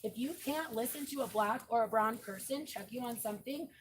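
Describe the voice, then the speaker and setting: A woman speaking forcefully and indignantly to fellow marchers outdoors at night, blunt and profane. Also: on the high side, fairly quick, slight background noise. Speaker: protest marcher. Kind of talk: impassioned street speech. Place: outdoors at a night street protest.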